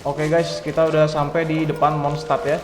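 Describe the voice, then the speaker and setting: Low-pitched female game streamer, Indonesian accent, quick, chatty, roomy sound, loud music behind. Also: little pitch movement. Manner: chatty, slangy. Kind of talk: casual gameplay commentary.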